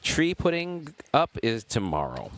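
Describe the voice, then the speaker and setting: A man's voice: mid-range voice, huge pitch swings, measured pace. A man commentating live pickleball in an indoor gym, chatty and rambling, often chuckling mid-sentence. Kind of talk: casual live sports commentary.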